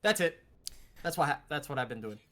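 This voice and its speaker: A man's voice: fairly high pitch, big pitch swings, fairly quick, a bit bright. A man co-hosting a gaming show, bantering casually about video games.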